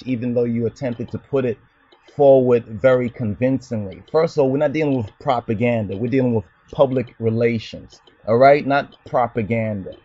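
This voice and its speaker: A man's voice: mid-range voice, warm tone, dry recording, highs cut off. A man hosting his own channel, delivering an argumentative commentary monologue in a lecturing tone, often asking "you understand?". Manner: lecturing, rhetorical questions, repeats "you understand". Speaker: channel host answering a commenter.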